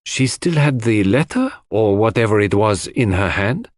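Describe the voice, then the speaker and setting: A man's voice: fairly low pitch, lively intonation, moderate pace, dry recording, studio quality, natural voice. Audiobook sleuth, man acting: polite, formal, businesslike interrogator, persuasive, with widely moving intonation. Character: courteous, businesslike, probing male detective.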